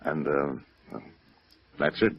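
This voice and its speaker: An actor playing a genteel, evasive company president in a 1950s radio drama, formal and hesitant, on a vintage recording. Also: male voice, a bass voice, slow pace, highs cut off, full character voice. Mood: wistful, hesitant. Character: genteel, evasive, wistful male brokerage president.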